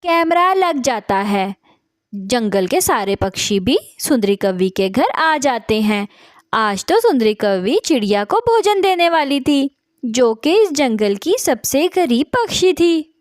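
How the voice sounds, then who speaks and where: A woman's voice: high-pitched, very animated, slow pace, dry recording, clean studio sound. A woman narrating a scripted children's story.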